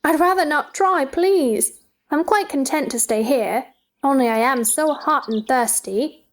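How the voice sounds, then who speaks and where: A woman's voice: high-pitched, lively intonation, a little slow. A woman playing a curious, polite young girl, speaking with earnest wonder in a theatrical storybook dramatization. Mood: puzzled, polite.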